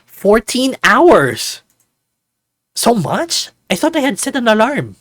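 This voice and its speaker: A narrator doing a sleepy, disoriented young man, high-swooping. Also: huge pitch swings, slow pace, a bit bright, no echo, studio quality, full character voice. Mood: confused.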